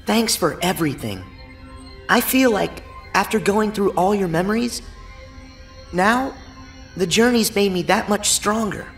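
A man's voice: sits high, expressive, measured pace, long pauses, fairly bright tone, soft background music, full character voice. A man playing a cheerful, earnest teenage boy hero in a video game, with an eager, boyish delivery. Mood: sincere. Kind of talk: English video game voice acting.

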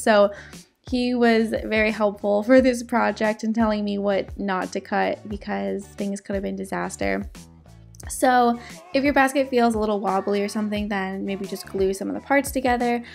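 A woman doing a casual, chatty crafting voice-over for her vlog. She is sick, and her voice cracks now and then. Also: mid-range voice. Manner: chatty, upbeat, fillers. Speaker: DIY vlogger. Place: home, indoors.

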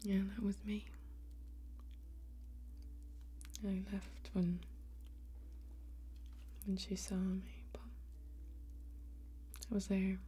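A young woman playing a tough, watchful female warrior in a fantasy ASMR role-play, whispering breathily and deliberately, with long pauses. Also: mid-range voice, monotone, mellow, faint music behind, natural voice. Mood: wry, secretive. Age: young adult. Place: small indoor bar set with bottles. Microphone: close ASMR microphone.

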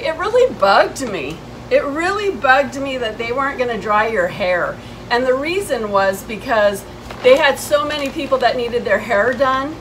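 A middle-aged woman with a fairly high voice tells a personal story in a casual, conversational vlog style. She is indignant and leans hard on her points as she recounts exchanges. The camera stands a few steps away on an outdoor porch, with clearly audible ambient noise. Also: fairly bright tone, rough recording. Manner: indignant, emphatic, retelling exchanges. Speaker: vlogger. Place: covered porch outside a house.

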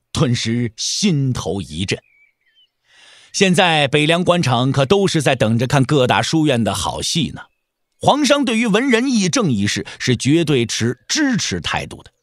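A man's voice: on the high side, dry recording, clean studio sound. A man narrating an audio drama as a dramatic storyteller, with emphatic, theatrical phrasing.

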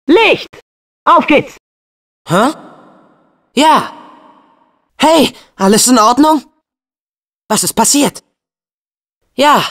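Spirited teen boy adventurer for a video game: earnest, eager, animated, measured pace. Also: sits high, exaggerated voice. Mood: battle-ready, shouting.

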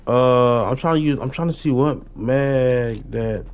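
A man's voice: medium pitch, a little slow. A young American man chatting casually and slangily on a gaming livestream, often muttering to himself. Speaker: live streamer. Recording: dull lo-fi streaming mic.

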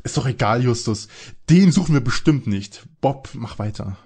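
A man's voice: medium pitch, lively intonation, moderate pace, a little mellow, slightly rough. A man playing a sheepish, whiny young detective in a parody detective audio drama, casual and grumbling. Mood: sheepish, annoyed.

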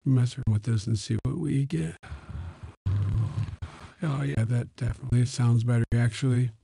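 An elderly male politician, a man using an AI voice changer, with a very dark, gravelly voice. He chats casually through a software demonstration in a synthetic, cloned timbre, very dry. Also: mid-range voice, fairly even intonation, normal speed, no room sound. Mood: casual, pleased. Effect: real-time AI voice changer.

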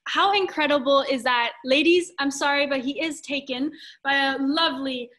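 High, bright young woman hosting online. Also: normal speed. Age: young adult. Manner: effusive, expressive. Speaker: host of a panel talk. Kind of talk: enthusiastic introduction of panelists. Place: dim room at home, indoors. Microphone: video call.

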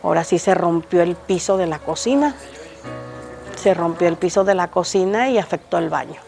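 An older woman with a Mexican Spanish accent, answering a reporter's questions outdoors on the street in front of her home. She speaks in a hesitant, halting way with frequent restarts. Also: on the low side, vocal fry, a little mellow, faint music behind. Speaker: interviewed resident. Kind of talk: street interview. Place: outdoors on a street by a house gate.

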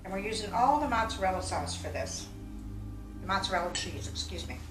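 A woman's voice: medium pitch, expressive, fairly quick. Chatty older woman cooking in a roomy kitchen. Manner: chatty, self-correcting, talks while working with her hands. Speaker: home cooking vlogger. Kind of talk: casual cooking demonstration. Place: home kitchen, indoors. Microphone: far camera. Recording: roomy kitchen sound, mic at a distance, music behind.

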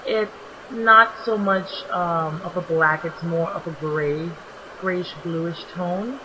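A young woman with a slightly raspy, just-woken-up voice, narrating her makeup tutorial in a relaxed, chatty way with many ums. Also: fairly low pitch, takes it slowly, highs cut off, slight background noise. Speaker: beauty vlogger. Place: small home bathroom.

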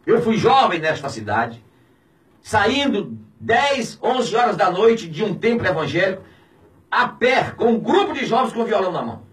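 A man in his fifties with a Brazilian accent, a pastor speaking out in an indignant, preaching tone on the radio, swinging between shouts and low, heavy words. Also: very animated, normal speed, recorded from a distance, rough recording. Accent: Brazilian Portuguese. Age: 50s. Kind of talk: impassioned radio commentary. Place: small radio studio. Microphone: studio mic on a boom, filmed from a distance.

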